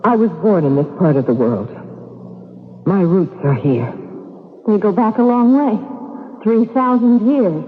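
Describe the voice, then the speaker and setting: Tinny, reverberant old radio sound carries a woman acting as a scheming villainess. She is composed, bitter and quietly ominous in her delivery. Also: mid-range voice, animated, a little slow, pauses often, close to an everyday voice. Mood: cool, bitter. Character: cool, bitter female accomplice, scheming stepmother. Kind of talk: vintage radio drama acting.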